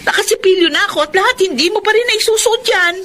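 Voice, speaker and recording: A woman's voice: extremely high pitch, quick pace, sharp tone, a little hiss or hum. A woman with a Filipino accent playing a bossy but caring eldest sister in a radio drama, with a nagging manner. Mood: scolding. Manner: nagging, scolding. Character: Minerva, bossy caring eldest sister raising two younger siblings.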